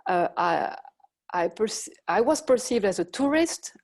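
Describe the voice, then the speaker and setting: A middle-aged woman from Belgium with a Belgian French accent, giving a talk as a professor and telling personal anecdotes in a measured, reflective way with pauses and self-corrections. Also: mid-range voice, animated, darkish tone.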